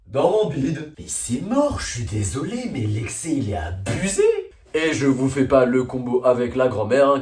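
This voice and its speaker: A young man giving a loud, sarcastic comic monologue full of slang and fillers. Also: medium pitch. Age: young adult. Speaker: video creator. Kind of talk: comedic monologue vlog. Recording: roomy echo, a little far from the mic.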